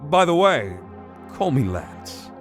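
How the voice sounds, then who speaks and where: Cocky thief, wildly swooping, creaky voice. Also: male voice, fairly high pitch, sing-song, takes it slowly, music in the background, exaggerated voice. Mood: glib bargaining. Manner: glib, theatrical, sarcastic. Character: cocky, slippery male master thief, flippant under threat. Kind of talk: audio drama acting.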